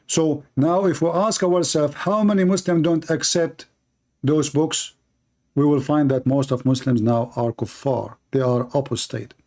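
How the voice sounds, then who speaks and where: Emphatic man, native Arabic speaker, mellow voice. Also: on the high side, a little slow. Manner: emphatic, repetitive, non-native phrasing. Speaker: religious debate host. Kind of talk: polemical commentary.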